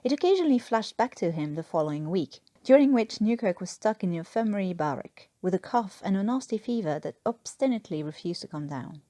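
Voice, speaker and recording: Woman narrating a story with lively pitch swings. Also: dry recording. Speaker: fan fiction narrator. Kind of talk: expressive scripted storytelling narration.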